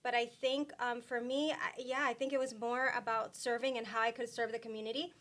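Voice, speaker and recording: A woman from Puerto Rico talking as a guest in a casual interview, soft-spoken with many fillers and restarts. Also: a little high, flat delivery, fairly quick.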